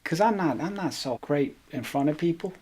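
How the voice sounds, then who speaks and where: An actress playing a shy, modest chief stagehand in a radio drama, talking haltingly and without show. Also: female voice, sits low, animated, warm tone, breathy edge. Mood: shy, content. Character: shy, modest, kindly female chief stagehand after work. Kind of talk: naturalistic radio drama dialogue.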